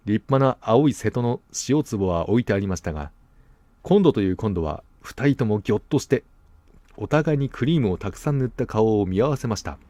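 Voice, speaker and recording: A man's voice: lowish voice, brisk, no echo. A man reading a classic tale as a scripted narration, telling it expressively. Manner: expressive storytelling with acted dialogue. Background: background music.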